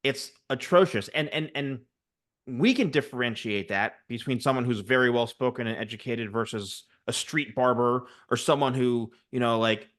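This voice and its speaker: A man in his forties, hosting an interview and telling stories in a chatty, animated way. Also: medium pitch, moderate pace. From United States. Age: 40s. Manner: chatty, animated storyteller, many fillers. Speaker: host, barber coach. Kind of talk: casual interview chat.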